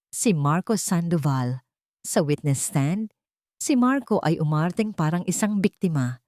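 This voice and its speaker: A Filipino-accented woman narrates a scripted drama. Her voice sits a little low and moves in lively swings, with a theatrical storyteller's delivery. The recording is very dry. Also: no echo. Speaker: audiobook narrator.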